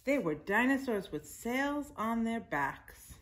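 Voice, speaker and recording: A woman's voice: a little high, sing-song, takes it slowly, a little hiss or hum. A woman in her forties reading aloud like a teacher at children's storytime, stressing key words and adding little asides to the listeners. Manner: simple phrases with emphasized words and asides to children. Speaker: teacher reading a picture book to young children. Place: living room at home, indoors.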